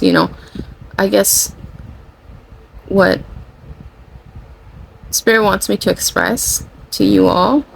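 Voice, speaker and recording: A tired, low-energy spiritual channeler, a native Spanish speaker, talking casually and rambling, often saying "you know" and correcting themself. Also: vocal fry, a little hiss or hum, low-quality recording. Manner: rambling, self-correcting, with frequent "you know" and light laughter. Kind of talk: casual spiritual vlog monologue.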